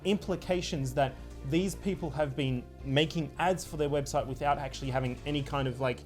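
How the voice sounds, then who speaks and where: Halting man in casual gaming discussion. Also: fairly high pitch, soft background music. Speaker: gaming show co-host.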